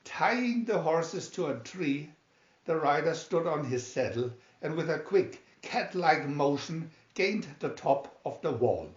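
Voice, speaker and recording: A man reading a story aloud as a narrator, with deliberate, dramatic delivery. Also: fairly high pitch, animated. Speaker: storyteller reading a picture booklet. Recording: dull lo-fi, echoey room.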